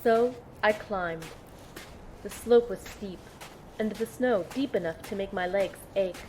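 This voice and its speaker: A woman plays a young, resolute team medic telling her own story in an audio drama. Her voice sits at an ordinary female pitch, with faint noise behind it. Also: unhurried, darkish tone, natural voice, poor audio. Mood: tense, reflective. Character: determined young female field medic and team operative narrating her mission. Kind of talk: first-person audio drama narration with dialogue.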